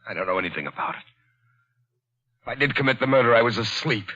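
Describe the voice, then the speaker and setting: Accused professor, lively, bright voice, dull old broadcast with music. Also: male voice, medium pitch, quick pace. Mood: pleading. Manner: bewildered pleading, bursting into excited shouts. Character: accused American professor, anxious then elated detective. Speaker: lead actor in radio drama. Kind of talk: 1940s radio acting.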